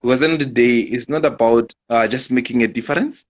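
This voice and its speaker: A man hosting a live-stream interview, speaking in a measured way and leaning on repeated set phrases. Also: medium pitch. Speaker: host.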